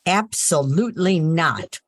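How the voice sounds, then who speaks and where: An older woman playing a sensible, sharp-tongued farm girl in a 1940s radio play, folksy and firm. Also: deep voice, animated, slow pace, slight background noise. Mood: exasperated, firm.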